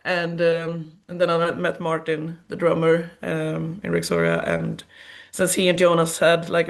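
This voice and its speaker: A Swedish woman, a singer with a naturally low, raspy alto voice, chatting in an interview with a Swedish accent. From Sweden. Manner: chatty, trailing fillers. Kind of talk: casual interview chat.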